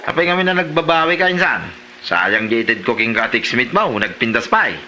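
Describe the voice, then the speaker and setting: Animated, sharp man as an excitable Ilocano cousin. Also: mid-range voice, normal speed, slight background noise. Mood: teasing, exasperated. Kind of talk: Ilocano comedy drama acting.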